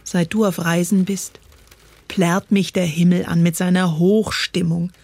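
A woman's voice: fairly low pitch, flat delivery, unhurried, a little mellow, dry recording. A woman giving a literary recitation of poetic prose, as a spoken-word performer. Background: music.

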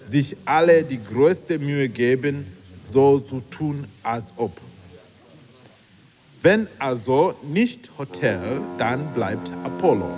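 A man's voice: mid-range voice, a little mellow. A man acting in a radio play as a solemn, grandiloquent speaker ceremoniously addressing travellers. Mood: formal. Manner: ceremonious, oratorical. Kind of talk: theatrical speech in a radio play. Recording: long hall reverb.

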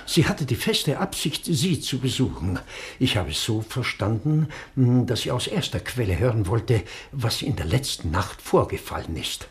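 A man's voice: mid-range voice, sing-song, moderate pace, lightly acted. A man playing a flustered doctor's assistant, voice rising and falling. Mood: worried. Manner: polite, flustered. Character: anxious household assistant to a doctor. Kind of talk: 1960s radio drama acting.

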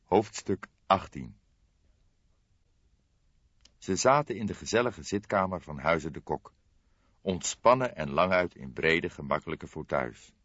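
A man's voice: deep voice, expressive, measured pace, pauses often, fairly bright tone, husky. A man narrating a crime novel as an audiobook, reading scripted prose in a dramatic storytelling style. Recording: dull lo-fi audiobook, roomy echo.

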